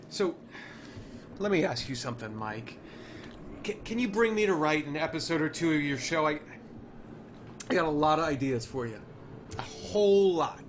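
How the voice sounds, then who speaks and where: A man playing a dejected, stubborn TV showrunner in a screenplay table read, speaking in a halting, conversational way. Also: a little high, animated, close to an everyday voice. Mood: eager. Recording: noisy online call audio.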